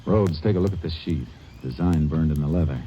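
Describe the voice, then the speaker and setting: An actor playing a terse, level-headed Texas Ranger, who also narrates, in a vintage radio drama. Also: male voice, a bass voice, brisk, mellow, slight background noise. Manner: terse, clipped, no-nonsense. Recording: dull old radio recording with music.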